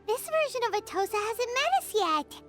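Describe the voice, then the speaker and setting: A woman voicing a tiny, chirpy floating female companion sprite who talks in bouncy, excited exclamations. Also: very high-pitched, moderate pace, music in the background. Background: loud game score.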